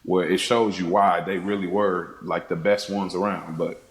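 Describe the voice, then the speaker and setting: The sound is roomy, with a clear echo around a man's fairly low voice. He talks casually and conversationally, as in an unscripted film discussion. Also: steady tone, moderate pace.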